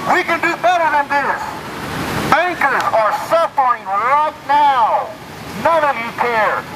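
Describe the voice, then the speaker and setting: A man's voice: extremely high pitch, lively intonation, slow pace. A man giving a sarcastic, declamatory protest speech through a megaphone outdoors, with mock-pleading appeals. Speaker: street protester. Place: outdoors on a city street. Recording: loud street noise, megaphone outdoors.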